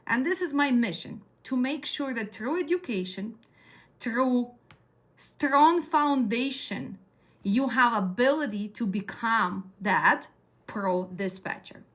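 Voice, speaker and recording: A woman's voice: on the high side, expressive, takes it slowly, fairly bright tone. Direct Ukrainian-accented woman teaching a class. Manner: direct, asks the class questions. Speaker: dispatch trainer. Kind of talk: classroom lecture.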